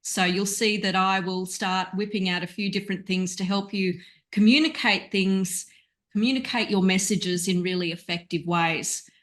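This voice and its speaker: A woman's voice: medium pitch, fairly even intonation, moderate pace, fairly bright tone. A woman co-leading a course, introducing herself enthusiastically on a video call. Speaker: course lead.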